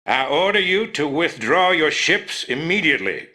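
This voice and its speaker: A blustering game general with a drawl. His voice is sharp and forceful, swinging widely in pitch as he gives commands with pompous pride. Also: very animated, normal speed. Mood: threatening, officious. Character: blustering, hard-nosed human general, proud and threatening. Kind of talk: scripted video game acting.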